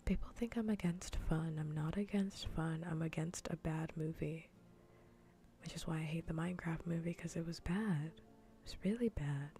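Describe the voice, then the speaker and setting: A woman livestream host chatting casually in a soft, breathy, mostly whispered ASMR voice, rambling informally and repeating phrases for emphasis. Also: low voice, moderate pace, soft background music.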